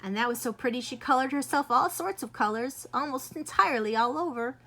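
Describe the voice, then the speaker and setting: A woman reading a children's picture book aloud in an expressive, lively way. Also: high voice, a little fast. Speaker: children's storyteller.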